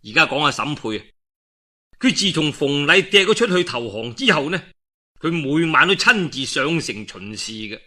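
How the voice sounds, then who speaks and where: A man's voice: fairly high pitch, bright, forceful tone. A man telling a historical tale in colloquial Cantonese in a traditional storytelling style, with dramatic delivery and conversational asides.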